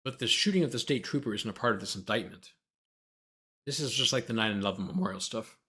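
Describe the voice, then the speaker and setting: A man's voice: on the low side, fast talker, pushed and bright, slightly rough. A man who hosts a livestream as a lawyer, reading a document aloud and breaking off into sarcastic, exasperated, argumentative commentary.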